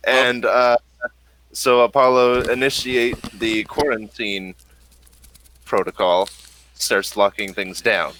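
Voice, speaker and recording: A man as game master, narrating a tabletop game in a relaxed, hesitant way. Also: medium pitch, slow, long pauses, a little hiss or hum, low-quality recording.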